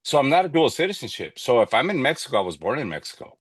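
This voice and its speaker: A man's voice: mid-range voice, sing-song, rapid-fire, slightly rough, no room sound. A Mexican American man from Southern California, a podcast guest who jokes playfully and drops Spanish phrases into casual chat. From El Monte, California.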